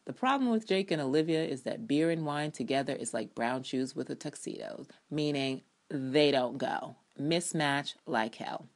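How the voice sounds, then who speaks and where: A woman's voice: rumbling low, expressive, moderate pace, few pauses. A woman giving a dramatic, emphatic and opinionated reading of her own written review, with ad-libs.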